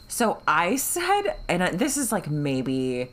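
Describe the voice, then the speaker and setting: A woman's voice: fairly low pitch, huge pitch swings, very slow. A woman co-hosting a book podcast, talking casually in an emphatic, gushing, sweary way. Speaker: book club podcast co-host.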